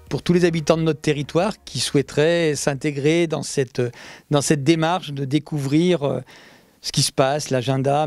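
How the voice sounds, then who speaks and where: A man speaking as a local community council president in an interview, measured with occasional fillers. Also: medium pitch, darkish tone, no room sound, studio quality. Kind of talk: interview statement.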